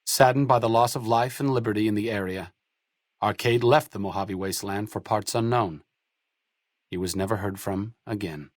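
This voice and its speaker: A man narrating video game epilogues in a measured, solemn storytelling manner. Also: lowish voice, no room sound, clean studio sound, lightly acted. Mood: somber, measured. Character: somber male narrator telling a companion's fate.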